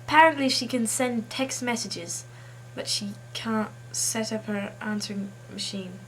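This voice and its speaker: A woman chatting casually to camera as a video blogger, halting as she tries out a put-on accent. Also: on the high side, slight background noise, poor audio.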